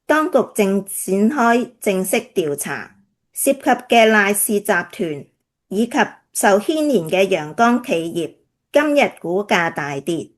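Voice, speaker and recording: Lady doing a formal newsreader, very slow, lots of pitch movement. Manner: formal, measured. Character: formal female news anchor reading a bulletin. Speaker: radio drama actor. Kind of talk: news bulletin reading.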